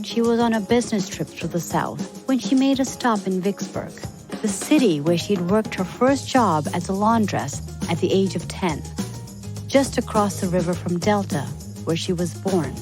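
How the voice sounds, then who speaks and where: A woman reading a scripted biographical story as a podcast narrator for young listeners. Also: mid-range voice.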